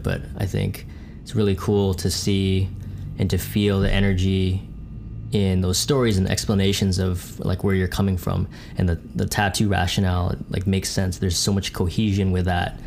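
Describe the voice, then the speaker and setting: A man's voice: sits low, gravelly, darkish tone, a little hiss or hum. A man co-hosting a podcast, chatting casually and loosely with a lot of swearing and filler words. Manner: loose and profane, lots of fillers. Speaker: podcast co-host.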